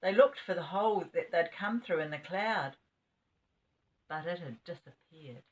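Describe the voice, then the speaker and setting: An older woman with a fairly low voice reads a children's story aloud. She is an expressive storyteller, slipping in small asides as she goes. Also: a little slow, distant mic, highs cut off. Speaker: grandmother storyteller.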